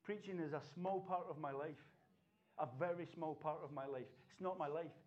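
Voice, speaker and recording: A fervent male pastor, his voice sitting high for a man and climbing into near-shouts as he exhorts the congregation. He preaches with passion and repetition in a church setting. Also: normal speed, highs cut off. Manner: impassioned preaching, rising to shouts. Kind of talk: sermon.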